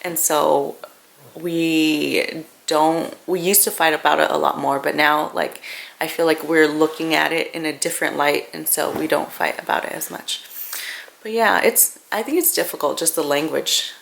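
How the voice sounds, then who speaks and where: Low-voiced creaky young woman vlogger. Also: fairly even intonation, fairly bright tone. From grew up in a Vietnamese-speaking family. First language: Vietnamese. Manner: informal, halting, thinking aloud. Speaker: family vlogger. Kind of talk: casual personal vlog chat. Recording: noisy home-video sound with clicks.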